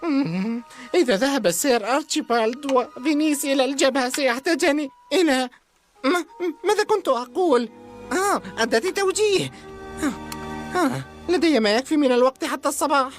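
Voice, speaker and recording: Cartoon inventor: high voice, nervous, forgetful, eccentric. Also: female voice, expressive, a little fast, soft background music. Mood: distracted. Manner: hesitant, muttering, forgetful. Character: nervous, absent-minded eccentric male inventor. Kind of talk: dubbed cartoon acting.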